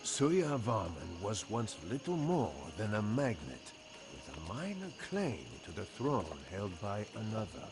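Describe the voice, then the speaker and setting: An actor playing an honored, solemn general of the Khmer Empire, narrating in a grave, formal, measured way for a strategy game. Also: male voice, on the low side, very animated, over music. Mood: solemn, storytelling. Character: honored old-world Khmer general, solemn, weathered commander. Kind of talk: dramatic scripted game narration.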